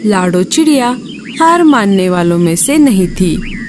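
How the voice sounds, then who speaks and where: A woman narrating a children's cartoon story in an expressive, singsong way and speaking to young viewers. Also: mid-range voice, a little slow, background noise.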